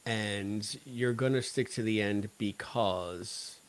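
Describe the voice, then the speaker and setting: A man's voice: on the low side, takes it slowly. A man co-hosting a casual review podcast, talking in a wry, joking way. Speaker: podcast co-host. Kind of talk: casual review chat about an audio drama.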